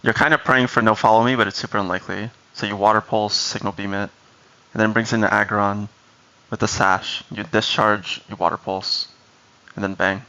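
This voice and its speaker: A man's voice: fairly low pitch, fairly even intonation, fairly quick, pushed and bright, muffled recording. A man from Brazil whose first language is Portuguese, chatting casually on a live stream, explaining strategy and answering chat.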